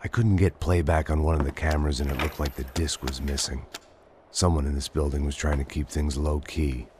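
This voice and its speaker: An actor playing a cynical, world-weary American bodyguard in a video game, terse and sardonic in noir style. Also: male voice, rumbling low, fairly even intonation, fairly quick, noisy background. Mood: brooding. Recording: music loud behind the voice.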